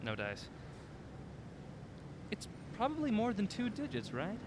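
Man playing a playful, animated mystery partner. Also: high-pitched, unhurried, noisy background, full character voice. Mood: excited, puzzled. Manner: bantering, punchy. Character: playful, excitable male partner on a mystery hunt. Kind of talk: naturalistic audio drama acting.

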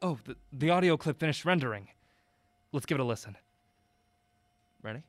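A man's voice: fairly high pitch, expressive, normal speed, dry recording, lightly acted. A man playing an earnest, easygoing young amateur sleuth in an audio drama.

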